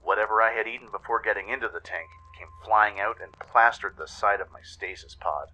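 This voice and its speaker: A man's voice: lowish voice, fairly quick, sharp tone, rough recording. A man narrating a scripted first-person story dramatically, as in an audio drama. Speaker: narrator and voice actor.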